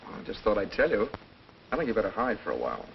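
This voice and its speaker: A terse, guarded young American drifter in a forties noir film. His low voice is gravelly and moves up and down in clipped, brusque phrases. The old soundtrack is muffled. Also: huge pitch swings, racing, a little hiss or hum. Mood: cool, coaxing. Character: curt, guarded young drifter, a man on the run. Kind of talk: 1940s film noir acting. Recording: muffled, dated 1940s film soundtrack with music.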